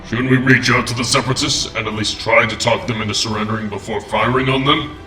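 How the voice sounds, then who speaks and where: A man's voice: medium pitch, fairly even intonation, fast, sharp tone, close to an everyday voice. Man voicing cautious robot engineer, echoey. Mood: reluctant. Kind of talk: comic dub acting. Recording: echoey room, music underneath.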